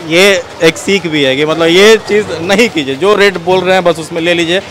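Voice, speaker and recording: Young male vlogger from Bihar, high voice, asks quick friendly questions. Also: a bit bright, a little hiss or hum. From Bihar, India. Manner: curious, chatty, asks short follow-up questions. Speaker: food vlogger. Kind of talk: casual street interview vlog. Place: busy outdoor fish market before dawn.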